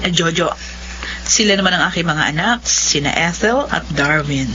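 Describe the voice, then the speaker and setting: Casting: defensive, lovestruck Filipino mother for radio drama; bright, forceful, very animated delivery. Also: low-pitched, moderate pace. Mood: firm, defensive. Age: middle-aged. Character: middle-aged mother, lovestruck, stubborn, defensive. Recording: dull lo-fi audio, clear hum.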